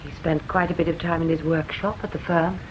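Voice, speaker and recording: An actress playing a terse, unsentimental widow in a radio drama, answering curtly and matter-of-factly. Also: female voice, deep voice, level tone, fairly quick, close to an everyday voice. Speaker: witness. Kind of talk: BBC radio drama acting. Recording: dull, slightly noisy radio recording.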